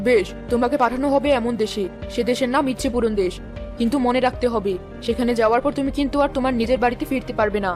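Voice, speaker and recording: Cartoon fairy-tale role: actress as authoritative, gracious queen of forest fairies, very brisk speech, music behind. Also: a little high, lightly acted. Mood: gracious, solemn. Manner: stately, benevolent. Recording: music under the voice.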